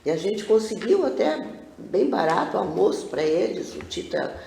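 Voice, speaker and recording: A woman's voice: mid-range voice, normal speed, gravelly, a crowd in the background. An older woman, a guest telling rambling stories with many asides in a casual TV interview. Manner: animated, rambling storyteller with frequent asides. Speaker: guest, local cultural figure. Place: TV studio. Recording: roomy studio echo.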